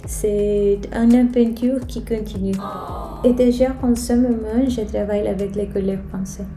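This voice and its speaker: A woman's voice: medium pitch, fairly even intonation, normal speed, dark tone, slight background noise. A woman vlogger whose first language is Spanish, speaking with a Spanish accent in a careful, hesitant way as she tells personal stories casually. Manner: careful, hesitant non-native French delivery. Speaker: vlogger, channel host. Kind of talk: casual personal storytelling to camera. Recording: roomy echo, music underneath. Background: background music.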